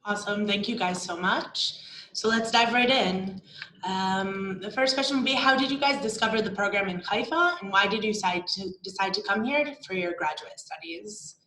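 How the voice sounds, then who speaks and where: An upbeat young woman moderates a student panel over a video call. Her welcoming voice sits at a middle pitch and sounds roomy, with a faint hum behind it. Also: expressive, moderate pace, pushed and bright. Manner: upbeat, welcoming, a few restarts. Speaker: marketing coordinator hosting a student panel.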